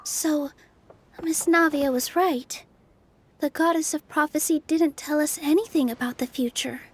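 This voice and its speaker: A woman playing a gentle, polite, thoughtful young woman in a video game, soft-spoken and earnest. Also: high voice, fairly even intonation, a little slow, slight background noise. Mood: matter-of-fact. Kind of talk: video game character acting.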